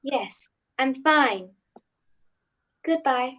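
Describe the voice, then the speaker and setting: A woman's voice: high-pitched, animated, drawn-out words, a bit bright, exaggerated voice. A woman playing an anxious, hesitant suburban housewife and mother in a radio play. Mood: uneasy. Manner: hesitant, brooding, theatrical.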